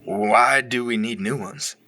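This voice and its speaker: A man's voice: medium pitch, measured pace, bright, forceful tone. A man playing a teasing, joking husband trading banter in an audio drama. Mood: playful. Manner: joking, chuckling.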